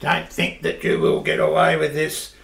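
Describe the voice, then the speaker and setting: An actor playing a pompous, blustering older aristocrat with a gravelly voice, in an amateur radio play. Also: male voice, on the high side, completely flat delivery, normal speed, fairly bright tone. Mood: indignant blustering. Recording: roomy amateur recording.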